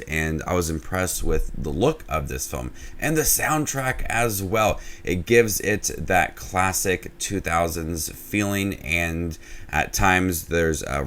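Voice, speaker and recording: A young man hosting his own movie review channel, talking casually in a low, flat way that he says can sound unenthusiastic.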